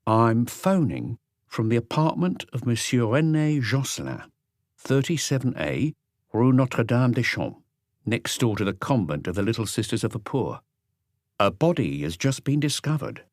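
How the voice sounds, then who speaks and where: A man plays a middle-aged police inspector in an audiobook, slow and solemn. His phrasing is careful and formal, lifted above the reader's own voice with lively swings, in a dry recording. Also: mid-range voice, dry recording, voice pushed up, close to an everyday voice. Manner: careful, precise, courteous.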